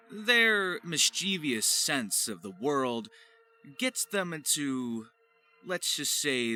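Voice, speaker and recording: A man's voice: medium pitch, bright, forceful tone. A man hosting a scripted video as a voice actor, with playful, theatrical and grandiose phrasing.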